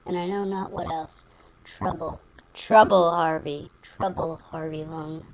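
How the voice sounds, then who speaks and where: An actress playing a cool, worldly femme fatale in a noir detective audio drama, speaking in a dry, measured, theatrical way. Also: female voice, low voice, fairly even intonation, a crowd in the background, poor audio. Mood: grave, knowing.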